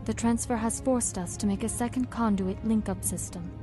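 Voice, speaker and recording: A woman's voice: on the high side, moderate pace, natural voice. An actress playing a businesslike woman medical technician in a fan audio drama, explaining a procedure precisely. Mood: calm. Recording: music playing underneath.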